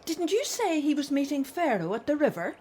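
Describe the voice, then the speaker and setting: A woman playing an aged, anxious Hebrew mother in an audio drama, expressive in her delivery. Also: high-pitched, brisk, a little hiss or hum. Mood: concerned, wondering. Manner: worried, expressive.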